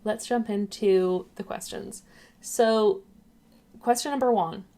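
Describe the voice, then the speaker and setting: A woman's voice: mid-range voice, slow pace, warm tone. Young woman, chatty webcam vlogger who rambles off topic. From England, raised in Ireland. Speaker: YouTuber. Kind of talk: casual chatty vlog.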